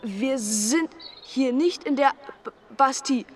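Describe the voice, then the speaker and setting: An actor playing an excitable, cynical schoolboy with a stammer and a theatrical, florid way of talking in a German radio drama. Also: male voice, very high voice, slow pace, slight background noise. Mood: teasing, nervous.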